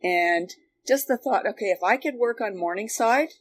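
A woman's voice: medium pitch, animated, normal speed. Canadian woman reminiscing, noisy recording. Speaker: guest, former radio worker. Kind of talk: casual reminiscing conversation. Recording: noticeable background noise.

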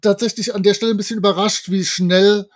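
A man's voice: high voice, steady tone, fast. A man co-hosting a podcast, discussing a story casually, hesitant and wordy with mock-formal turns of phrase. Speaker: podcast co-host. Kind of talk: casual discussion of an audio drama.

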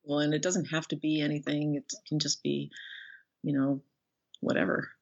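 A woman's voice: low-pitched, little pitch movement, normal speed, vocal fry. A woman speaking casually as a guest author in an interview, thinking aloud in a rambling, self-effacing way. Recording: dull lo-fi call audio.

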